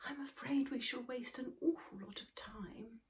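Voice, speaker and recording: A woman's voice: high voice, moderate pace, recorded from a distance, voice pushed up. A woman voicing an innocent, earnest young male troll creature from a children's storybook, in a childlike, exclamatory manner. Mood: worried.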